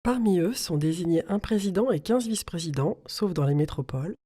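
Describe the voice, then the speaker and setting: A woman reading a scripted informational narration as an explainer, in a clear, didactic manner. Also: on the low side, animated, fairly quick, dark tone.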